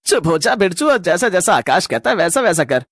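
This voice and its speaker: A man's voice: high voice, big pitch swings, fairly quick, a bit bright, no echo, clean studio sound, heavily acted. A man voicing foolish, earnest young village men in a cartoon story, eager and emphatic. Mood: bossy.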